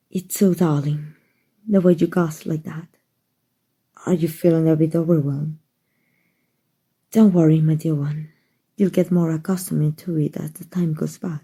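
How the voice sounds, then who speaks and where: A woman voicing a kind, doting, aristocratic female vampire in a soft, gentle ASMR roleplay. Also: low voice, steady tone, slow, long pauses, heavily acted. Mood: soothing, pleased. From Mexico. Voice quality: breathy, soft. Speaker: voice actor.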